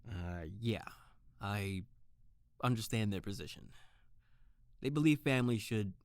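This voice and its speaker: An actor playing an earnest, trusting, soft-hearted detective in a noir-style audio drama, a little hesitant. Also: male voice, on the low side, lively intonation, a little slow, clear, resonant tone, no echo, studio quality. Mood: doubtful, defensive. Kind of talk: scripted noir-style audio drama with first-person narration.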